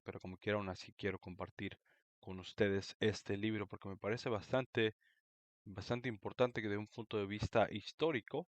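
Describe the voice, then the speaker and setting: A man's voice: fairly low pitch, no room sound. A Mexican-American man from Florida talking casually and unhurriedly, with many small fillers, about a history book. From United States (Florida), Mexican roots.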